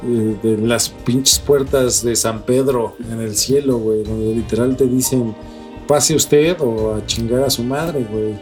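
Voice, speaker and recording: A man's voice: mid-range voice, steady tone, a little slow, darkish tone. Mexican man, slangy co-host over music. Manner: slangy, profane. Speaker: podcast co-host. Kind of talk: casual news commentary on strange stories.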